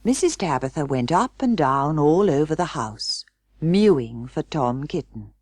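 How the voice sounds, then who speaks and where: Unhurried woman storyteller with lively intonation. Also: sits low, no room sound, studio quality. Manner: measured storytelling. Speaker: audiobook narrator. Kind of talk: scripted children's storybook reading.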